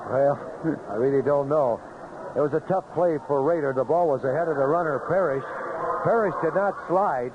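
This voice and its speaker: A man's voice: fairly high pitch, moderate pace. A man giving live baseball analysis as a radio color commentator. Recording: tinny, noisy vintage radio broadcast.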